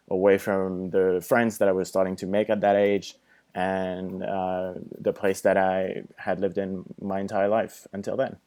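A man in his thirties from France with a French accent, telling a personal story as a podcast guest. He is thoughtful and earnest and often pauses with ums and restarts. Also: low voice, a little mellow. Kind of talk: storytelling in a casual podcast chat.

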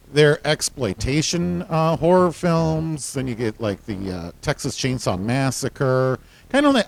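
A man co-hosting a casual podcast. His voice is full, gravelly and carries loudly, and his pitch moves around a lot as he talks. He laughs easily. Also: low-quality recording. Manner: loud, carrying voice; animated, laughs often. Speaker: podcast co-host. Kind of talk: casual chat.

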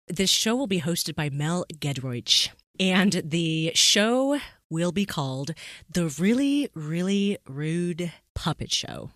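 A woman's voice: sits low, a bit bright, dry recording, studio quality. A woman hosting a podcast in a casual, chatty, amused and informal way. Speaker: podcast host.

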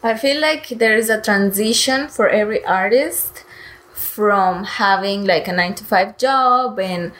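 A woman's voice: mid-range voice, a little slow, fairly bright tone, low-quality recording. A woman artist answering interview questions in an earnest, reflective, conversational way. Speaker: interview guest, painter.

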